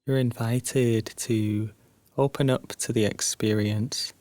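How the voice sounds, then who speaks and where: A man guiding a sleep meditation as a narrated story, soothing and hushed, leaving long pauses between phrases. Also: medium pitch, fairly even intonation, no echo.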